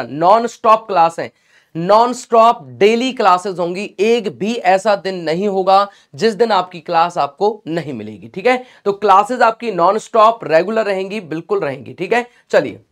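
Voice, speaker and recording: A young man with a sore throat teaching a live online class, chatty and encouraging, mixing English phrases into his talk. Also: sits high. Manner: chatty and encouraging, mixes English phrases, addresses students as beta. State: sore throat, clearing it often. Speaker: online exam-prep teacher.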